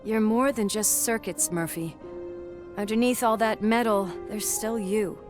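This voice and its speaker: A woman's voice: mid-range voice, unhurried, over music, close to an everyday voice. A woman playing a caring police partner. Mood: earnest. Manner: warm, teasing, earnest. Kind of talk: video game cutscene acting.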